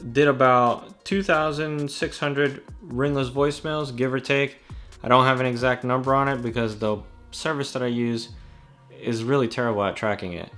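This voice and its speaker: Low-pitched man vlogging over music. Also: a bit bright. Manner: casual, addresses viewers directly. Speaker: vlog host, real estate wholesaler. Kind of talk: explanatory vlog talk to camera. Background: background music.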